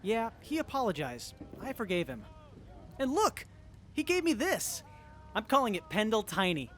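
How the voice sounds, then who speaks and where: An earnest young tinkerer from an audio drama acted by a man. The voice sits high and moves around a lot in pitch. The delivery is sincere, geeky and open. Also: sing-song, normal speed, a bit bright, soft background music. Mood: excited, delighted. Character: earnest, excitable young tinkerer in armor, sincere and literal-minded.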